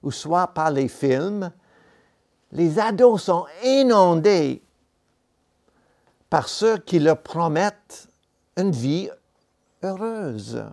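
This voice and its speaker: Slow gravelly-voiced male preacher, non-native speaker. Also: high voice, very animated, darkish tone. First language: not French. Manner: slow, deliberate, with pauses and self-corrections. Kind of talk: sermon. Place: church.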